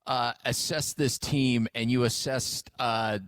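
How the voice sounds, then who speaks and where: A man's voice: mid-range voice, fairly even intonation, takes it slowly, a bit bright, no echo, studio quality. A man hosting a sports radio show, asking questions in a casual interview style.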